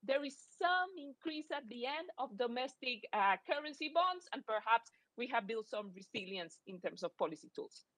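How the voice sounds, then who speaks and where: A woman from Costa Rica whose first language is Spanish presents as an economist at a conference. Her voice sits fairly high. The sound is dry, with very little room in it. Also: lively intonation, normal speed, few pauses, fairly bright tone, dry recording, rough recording. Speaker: academic economist, panel speaker.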